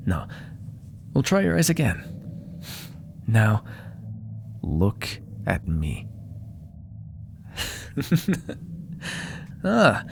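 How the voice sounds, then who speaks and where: A man's voice: medium pitch, creaky, a little hiss or hum, exaggerated voice. A man playing a proud, menacing demon dealmaker in an ASMR roleplay, theatrical and condescending, coaxing with whispered asides. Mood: coaxing, intimate, then teasing, approving.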